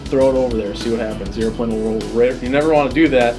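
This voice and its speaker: A man's voice: medium pitch, fairly even intonation, fast talker, over loud music. A young adult man talking casually and enthusiastically, like a pilot encouraging and instructing someone. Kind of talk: casual flight instruction and chat.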